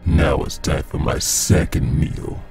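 A man voicing a savage, evil, hungry monster in a dramatic audio story, with a menacing, drawn-out delivery. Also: a little mellow, soft background music, close to an everyday voice. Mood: sinister, hungry.

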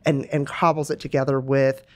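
A woman's voice: rumbling low, little pitch movement, moderate pace, a little mellow. A middle-aged woman from Romania, answering interview questions in a reflective way with many fillers and restarts. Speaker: guest, music company executive.

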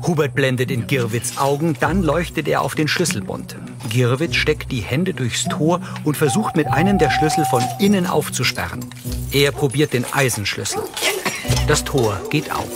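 A man's voice: fairly high pitch, lively intonation, normal speed. A man reading scripted audio description, narrating scenes in the present tense. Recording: clear music behind the voice. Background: soundtrack music.

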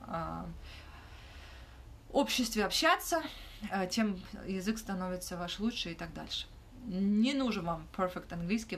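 A young woman hosting a livestream Q&A in a small room, talking casually into her phone and often pausing with fillers. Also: lowish voice, fairly bright tone, a little hiss or hum. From Ukraine. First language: not Russian. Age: young adult. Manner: hesitant, frequent "uh" fillers and restarts. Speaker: livestream host answering questions. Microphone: phone held close, front camera.

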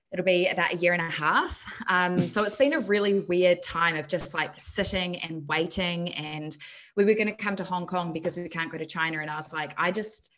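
Guest, female, thirties, New Zealand accent, lower pitch, candid and thoughtful, desk mic at home. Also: fairly bright tone. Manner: open, reflective, many ums. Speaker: guest, health and performance coach. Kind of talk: candid interview over video call. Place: bright room at home. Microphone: desk mic on video call.

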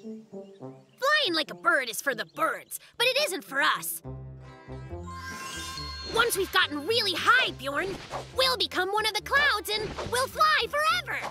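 A performer voicing a boastful, excitable young boy bear cub in a children's cartoon. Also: extremely high pitch, unhurried, long pauses, heavily acted. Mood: excited. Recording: music behind the voice. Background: children's cartoon music.

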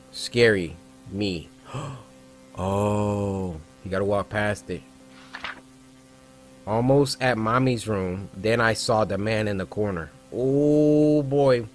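A young American man giving casual, animated, slangy commentary as he reacts to horror videos. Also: on the low side. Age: young adult. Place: small foam-padded room, indoors.

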